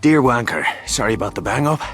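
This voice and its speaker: An actor playing a brash, cheeky Irish racing driver in a video game, sardonic and rough, with an Irish accent. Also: male voice, medium pitch, animated, quick pace, fairly bright tone, faint music behind, lightly acted. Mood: cocky, mocking. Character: brash, foul-mouthed Irish racing driver, cocky and vengeful.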